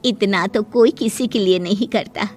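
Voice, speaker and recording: A woman's voice: mid-range voice, normal speed, a little mellow, lightly acted. A woman voicing a friendly, chatty, grateful neighbour in a cartoon family drama. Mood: grateful, insistent.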